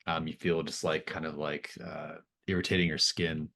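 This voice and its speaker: A man's voice: rumbling low, little pitch movement, normal speed, creaky, fairly bright tone. A man narrating as game master of a tabletop roleplaying game, improvising in a conversational, hesitant way as he thinks aloud.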